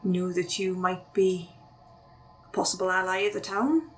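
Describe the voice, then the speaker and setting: A woman playing a cunning cursed woman who takes raven form, wry and measured as she bargains. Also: on the low side, faint music behind, lightly acted.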